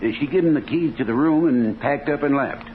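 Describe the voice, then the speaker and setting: An actor playing a defensive, folksy American rooming-house landlord in 1950s radio drama, halting and repeating his words. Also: mid-range voice, lightly acted. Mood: hesitant, helpful. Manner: halting, stammering, colloquial. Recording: noisy, tinny 1950s radio recording.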